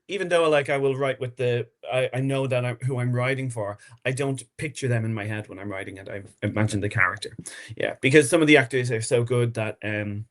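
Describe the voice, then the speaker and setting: A man's voice: medium pitch, fairly bright tone. Rambling, enthusiastic man chatting. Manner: rambling, halting restarts, enthusiastic. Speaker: podcast guest, horror fiction writer. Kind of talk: casual interview chat.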